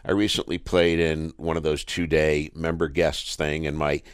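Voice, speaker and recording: A man's voice: extremely low pitch, level tone, normal speed, smooth, no room sound, studio quality. A man hosting a sports radio talk show, asking rambling questions in a conversational, jokey way. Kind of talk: casual sports radio interview.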